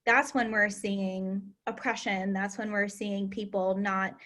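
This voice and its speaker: A woman's voice: medium pitch, level tone, a little slow, a bit bright. Earnest woman hosting a discussion. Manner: earnest, emphatic, reflective. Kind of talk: casual discussion.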